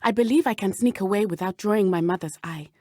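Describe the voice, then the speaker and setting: A woman voicing a brave, earnest teenage witch schoolgirl in a video game, speaking in a formal, measured way. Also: mid-range voice, a little mellow, dry recording, clean studio sound, natural voice. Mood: serious, determined. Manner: formal, measured, avoids contractions. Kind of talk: scripted game companion dialogue.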